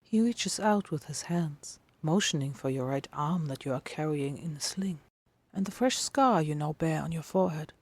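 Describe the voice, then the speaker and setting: A woman narrating a dramatic fiction reading in a hushed, intimate manner with deliberate phrasing. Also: low-pitched, expressive, slightly rough. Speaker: podfic narrator.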